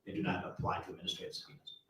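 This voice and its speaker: A man speaking as an attorney in formal legal language at a hearing, recorded in a room. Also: very fast. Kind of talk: formal legal objection talk. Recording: echoey room, far mic, faint noise.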